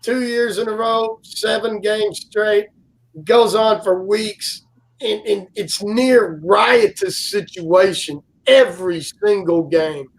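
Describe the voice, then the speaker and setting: Man, 40s, guest co-host; loud carrying voice, pitched high, slow unhurried rambling delivery. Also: gravelly, rough recording. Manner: rambling storyteller, many fillers and restarts. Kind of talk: casual live-stream chat.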